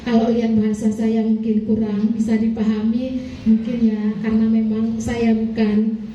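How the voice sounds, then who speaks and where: A woman's voice: on the high side, completely flat delivery, moderate pace, warm tone, highs cut off, slight background noise. A woman from Aceh giving a formal talk to community leaders, hesitant with many fillers and polite apologies. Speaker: speaker at a training session for neighborhood leaders. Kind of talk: formal public address. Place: meeting hall. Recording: echoey hall, voice from far off.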